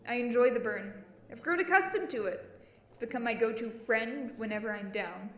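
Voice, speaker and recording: A woman's voice: on the high side, moderate pace, roomy sound, lightly acted. A woman playing a wounded, defiant character in an intense dramatic monologue, brooding and emphatic. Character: wounded, defiant woman nursing an old insult. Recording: music bed behind voice.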